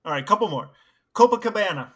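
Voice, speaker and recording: Male podcast co-host, bright forward voice, slightly high, conversational. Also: animated, quick pace. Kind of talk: casual chat.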